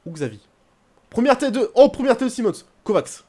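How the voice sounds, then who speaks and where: A young man commenting casually on his football video game career, rambling, with bursts of excitement. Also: extremely high pitch, brisk. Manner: chatty, rambling, bursts into excited shouting at goals. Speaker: gaming YouTuber.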